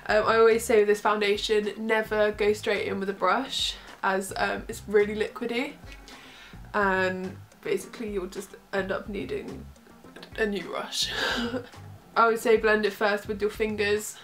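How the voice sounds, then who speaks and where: A woman's voice: medium pitch, unhurried, faint music behind. Stuffy-nosed young woman vlogging. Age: young adult. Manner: chatty, relaxed, giggly asides. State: congested from a cold. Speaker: makeup vlogger. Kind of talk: casual makeup tutorial. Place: small bedroom, indoors. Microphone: camera close in front.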